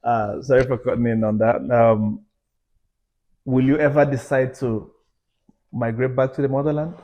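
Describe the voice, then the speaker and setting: A man co-hosts a relaxed podcast conversation. He talks in a chatty way, raising pointed questions and telling anecdotes to make his point. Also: mid-range voice, moderate pace, highs cut off. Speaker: podcast co-host. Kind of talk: casual chat.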